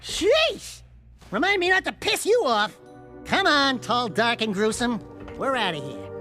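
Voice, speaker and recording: A man's voice: very high voice, sing-song, measured pace, exaggerated voice. A man voicing a small, cocky, wisecracking animal sidekick in a video game, brash and sarcastic. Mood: panicky, cheeky. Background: music.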